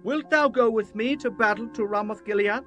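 A man's voice: sits high, normal speed, pushed and bright, soft background music. An actor playing a haughty, resentful king in a declamatory Bible drama. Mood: commanding. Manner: declamatory, theatrical.

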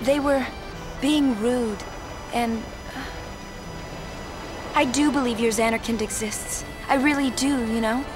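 An actress playing a gentle, earnest, hopeful young woman in a video game, sincere and polite with halting phrasing. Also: on the high side, fairly even intonation, lightly acted. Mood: soft, hopeful. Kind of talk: video game cutscene acting. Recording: music behind the voice.

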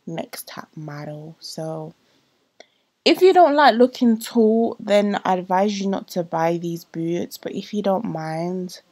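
A woman's voice: fairly low pitch, expressive, slow, darkish tone. Chatty woman with a slight cold. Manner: chatty, rambling with many fillers. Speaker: vlogger. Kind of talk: casual product review to camera. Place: small bedroom indoors.

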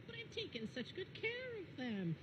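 A woman voicing a gentle, fussy, fretful gardener puppet who speaks earnestly and precisely. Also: very high-pitched, wildly swooping pitch, moderate pace, noisy background, heavily acted.

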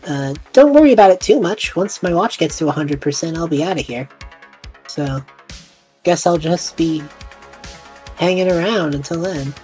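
A woman's voice: lowish voice, expressive, normal speed, pauses often, warm tone, soft background music, natural voice. A woman voicing an awkward, casual young male traveler in a tabletop roleplaying game. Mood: awkward, offhand.

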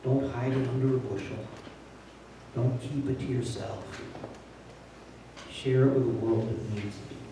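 A man's voice: mid-range voice, steady tone, measured pace, creaky, pauses often, noisy background. An older man preaching a sermon from the front of a church on a handheld mic, plainspoken and conversational, rising to urgent emphasis. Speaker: pastor. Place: large church sanctuary. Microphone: handheld mic, filmed from a distant camera. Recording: very echoey church from far off.

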